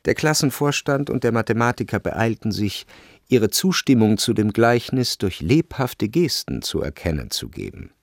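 An actor as the wry literary narrator of a radio play, delivering scripted narration. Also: male voice, lowish voice, big pitch swings, moderate pace, no echo, clean studio sound. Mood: wry, narrating.

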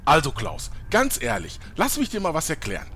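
A man's voice: a little high, huge pitch swings, fairly quick, fairly bright tone, slight background noise. A man playing an oblivious, self-important eccentric gamer in a comedy audio play, with a pompous, theatrical delivery. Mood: huffy self-importance.

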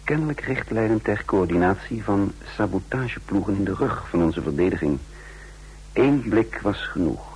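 Deep-voiced, composed secret agent. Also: male voice, animated, darkish tone, breathy edge. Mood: measured, pensive. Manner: measured, formal, deliberate. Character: composed male intelligence agent recounting his secret mission. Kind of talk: radio drama narration and dialogue. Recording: noisy old radio drama recording.

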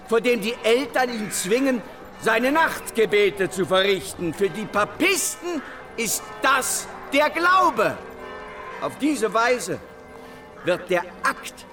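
Fiery zealot preacher from the 1500s, high voice, slow rousing declaiming, loud music under it. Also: male voice, heavily acted. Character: fiery 16th-century radical preacher, zealous male revolutionary. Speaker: actor in radio drama. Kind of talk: dramatic sermon in historical radio play.

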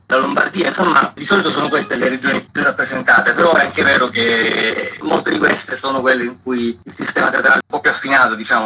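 A man's voice: mid-range voice, bright, forceful tone, a little hiss or hum, rough recording. A man speaking as an interview guest, giving spontaneous explanatory answers as a festival director.

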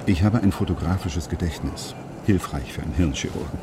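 A grave, secretive surgeon and anxious father in a radio crime play. His voice is extremely deep and he talks in terse, halting sentences. Vehicle noise is clearly heard around him. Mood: tense, factual. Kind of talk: German radio crime drama acting.

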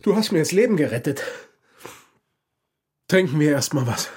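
A man's voice: a little high, expressive, normal speed, a little mellow, natural voice. An actor playing a shaken, agitated man in a radio crime drama, urgent in his speech. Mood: shaken, relieved.

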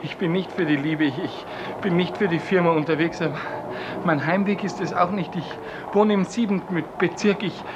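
Casting: male actor for shy, submissive bachelor clerk, high voice, halting and over-polite, muffled 1950s radio play sound. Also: background noise, close to an everyday voice. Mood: shy, flustered. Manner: hesitant, stammering, over-polite, apologetic. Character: timid, awkward, submissive bachelor office clerk.

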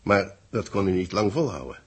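An actor playing a knowing, measured male newspaper reporter in a 1960s Dutch radio drama, with a gravelly, husky voice. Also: sits low, sing-song, full character voice. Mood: explaining, grave.

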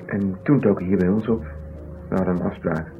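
An actor playing a level-headed, mild male friend in a 1970s Dutch radio drama, measured and conciliatory. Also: low-pitched, fairly even intonation. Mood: sober. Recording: muffled, noisy 1970s radio recording.

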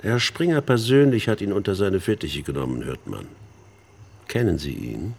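An actor playing a sinister, smug older man in a radio play, speaking in a raspy voice with a deliberate, insinuating, condescending manner. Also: deep voice, big pitch swings, a little mellow, full character voice. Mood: mocking. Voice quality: husky, raspy. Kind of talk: radio drama dialogue.

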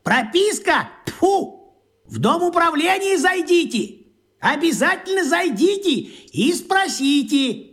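A man's voice: extremely high pitch, sing-song, slow pace, fairly bright tone, exaggerated voice. A grumpy, pompous pot-bellied man. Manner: grumbling, repetitive, self-important. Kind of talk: radio play acting.

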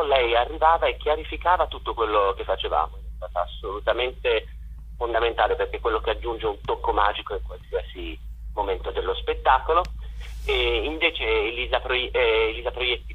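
A man's voice: lowish voice, breathy edge, narrow, tinny audio. A man answering interview questions on the radio as a theatre director and actor, heard as if over a telephone.

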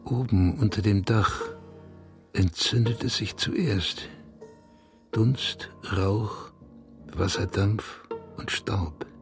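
An actor playing an obsessive, bitter and needy male writer in a radio drama, brooding and provocative in manner. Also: lowish voice. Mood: dreamy, brooding. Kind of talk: radio drama acting and literary monologue.

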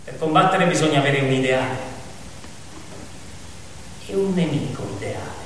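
High-voiced male stage narrator in an echoing hall. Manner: dramatic, declamatory, with repeated phrases and pointed pauses. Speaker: actor-narrator. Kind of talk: theatrical narrative monologue. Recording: very echoey hall from far off, faint noise.

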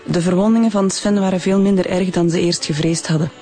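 A woman's voice: lowish voice, steady tone, a little fast, dark tone, faint music behind, lightly acted. A woman with a Flemish accent playing a guarded, prickly witness in a radio drama, curt and formal. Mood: prickly, pointed. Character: guarded, prickly girlfriend of a crime victim.

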